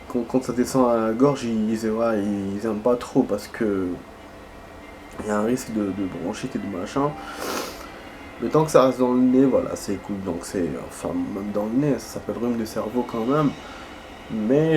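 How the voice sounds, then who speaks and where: A stuffy-nosed man with a slight lilt rambles through his gameplay commentary in a chatty, meandering way, talking to viewers like friends. Game music plays clearly under his mid-pitched voice. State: congested with a head cold. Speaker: gaming video host.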